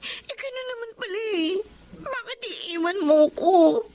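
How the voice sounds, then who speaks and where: An actress playing a trusting young Filipino housemaid in a Tagalog radio drama, polite, earnest and emotional. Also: female voice, extremely high pitch, animated, measured pace, background noise, exaggerated voice. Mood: hurt, pleading. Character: trusting, hopeful young housemaid supporting her siblings.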